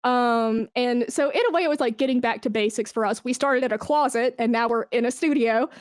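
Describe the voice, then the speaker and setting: Effusive high-voiced woman in casual interview. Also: brisk, dry recording, studio quality. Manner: effusive, emphatic, informal. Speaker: podcast guest, esports brand manager.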